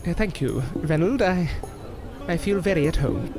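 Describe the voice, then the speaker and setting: A proud older male stage magician in an audio drama. His delivery is florid and theatrical, swinging widely in pitch over formal, wordy phrases, with clear music behind him. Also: big pitch swings, moderate pace. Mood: nervous, polite.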